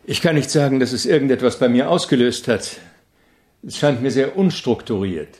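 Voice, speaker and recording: An actor playing a polite, exacting avant-garde composer in a radio drama, speaking in a measured, questioning, instructive manner with a husky voice. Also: male voice, medium pitch, expressive, a little mellow. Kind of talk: German radio drama acting.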